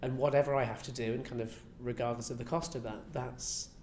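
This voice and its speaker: A man's voice: steady tone, brisk, a little mellow. A man giving reflective, earnest, conversational answers in an interview. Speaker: interviewee, pantomime writer and director. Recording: echoey room, faint rumbling noise.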